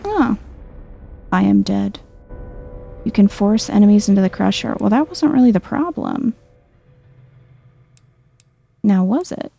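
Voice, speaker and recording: A woman giving soft-spoken, chill ASMR commentary over a video game playthrough, thinking out loud in a low-key way. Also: expressive, a little slow, creaky, long pauses, husky.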